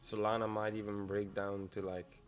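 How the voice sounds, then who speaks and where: Laid-back young man, low voice. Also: flat delivery, normal speed, soft background music. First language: Dutch. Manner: casual, loose, musing half to himself. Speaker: trading livestreamer. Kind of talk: live-stream commentary, thinking aloud.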